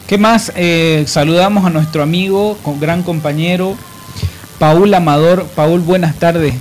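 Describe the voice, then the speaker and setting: A man's voice: fairly high pitch, steady tone, unhurried, a little hiss or hum. A man hosting a live radio programme in a conversational style. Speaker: radio host.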